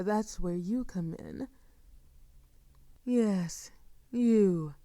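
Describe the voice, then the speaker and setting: A woman playing a seductive, sadistic vampire lord in an intimate audio roleplay, with soft murmurs and a theatrical, condescending, drawn-out delivery. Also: mid-range voice, no room sound, lightly acted.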